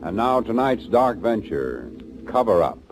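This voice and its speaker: Ominous old time radio announcer guy, really low voice, swoopy theatrical delivery, music behind. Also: sing-song, a little slow. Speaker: announcer and narrator. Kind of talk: 1940s radio suspense narration. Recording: old 1940s radio recording. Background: dramatic music.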